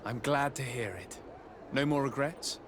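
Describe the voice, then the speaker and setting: An actor playing a calm, authoritative young nobleman and diplomat in a video game. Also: mid-range voice, a little slow, lightly acted. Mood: friendly. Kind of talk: video game cutscene acting. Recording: clear background ambience noise.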